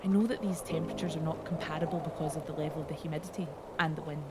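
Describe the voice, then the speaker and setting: A woman's voice: sits low, steady tone, racing, very noisy, natural voice. A woman performing an audio play monologue as a reflective immigrant mother, reminiscing lyrically. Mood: wry reminiscing. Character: reflective Estonian immigrant mother fond of winter.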